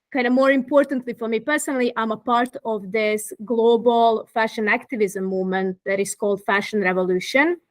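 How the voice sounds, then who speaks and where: A woman's voice: medium pitch, a bit bright. A woman in her thirties from Croatia, a fashion activist talking as a guest in a thoughtful, articulate way. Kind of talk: interview conversation.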